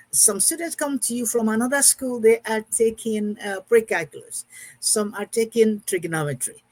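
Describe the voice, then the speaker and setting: Husky older woman teaching. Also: mid-range voice, darkish tone, low-quality recording. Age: 60s. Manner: repeats and rephrases her points. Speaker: math teacher. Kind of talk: informal recorded lesson.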